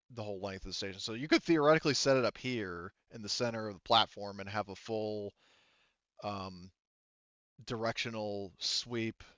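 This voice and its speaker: A man's voice: lowish voice, a bit bright, clear, resonant tone, no echo, lo-fi sound. A man casually running a tabletop roleplaying game as game master, thinking aloud in an informal way.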